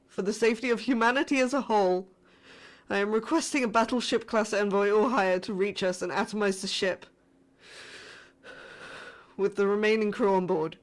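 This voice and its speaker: A woman playing a frightened spaceship crew survivor sending a distress message in a scripted sci-fi horror audio drama, formal but shaken and halting. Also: mid-range voice, natural voice. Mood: grave, urgent. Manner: formal reporting that breaks into shaken, halting, emotional delivery.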